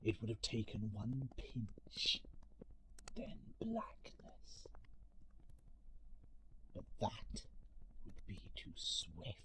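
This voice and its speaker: A man playing an ugly, spiteful monster in an audio story, delivering a bitter, theatrical monologue of revenge with deliberate menace that swings between hiss and shout. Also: lowish voice, wildly swooping pitch, whispered, full character voice. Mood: cold threat. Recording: noisy amateur home recording with clicks.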